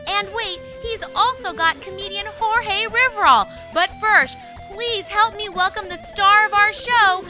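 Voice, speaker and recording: Very high-voiced woman announcing over music. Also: unhurried, hardly any pauses. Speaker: talk show announcer and co-host. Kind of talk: show introduction announcing.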